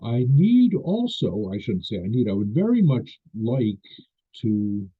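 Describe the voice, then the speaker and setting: Older male interviewer, low husky voice, polite and hesitant, on a tinny phone line. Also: very animated, measured pace. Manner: polite, hesitant, apologetic, restarts phrases. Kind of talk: interview questions.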